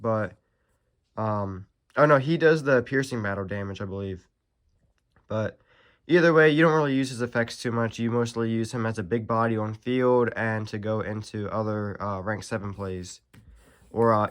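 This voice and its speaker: A young man with a stuffy, nasal voice from allergies, casually walking through a card deck, rambling with many pauses and self-corrections. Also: lowish voice. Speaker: card game channel host. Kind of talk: casual deck profile walkthrough.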